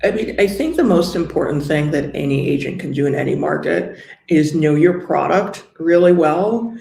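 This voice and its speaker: Gravelly-voiced woman from New York. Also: low voice, warm tone, reverberant. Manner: measured, understated, explanatory. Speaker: guest, luxury real estate agent. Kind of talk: interview conversation.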